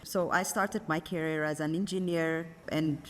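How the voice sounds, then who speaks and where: Low ethiopian woman, measured, little pauses, echoey room w faint hum. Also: fairly even intonation. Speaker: guest, energy consultant. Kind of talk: interview answers. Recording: roomy with faint ambient noise.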